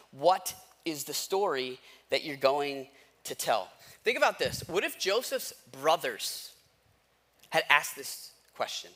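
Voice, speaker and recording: An American man in his thirties speaks as a pastor in a casual, conversational style. His voice sits a little high for a man and is very bright and forceful. He uses everyday slang and puts questions to his listeners as he tells personal stories. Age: 30s. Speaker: pastor preaching.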